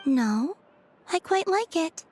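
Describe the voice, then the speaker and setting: A woman's voice: extremely high pitch, lively intonation, takes it slowly, a little mellow, exaggerated voice. A woman voicing a serene, naive young woman in a video game, placid and candid.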